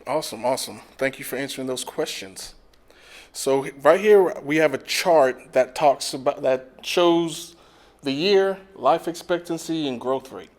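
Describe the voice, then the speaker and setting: A man, a physical therapy professor, giving a relaxed online lecture with frequent fillers. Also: fairly high pitch, a little slow. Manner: relaxed, with frequent "um" and "you know". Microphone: video call.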